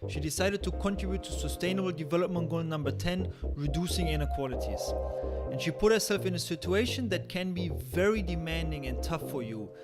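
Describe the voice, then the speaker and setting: A man's voice: a little high, a little fast, over loud music. A man hosting a podcast, introducing and interviewing a guest. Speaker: podcast host.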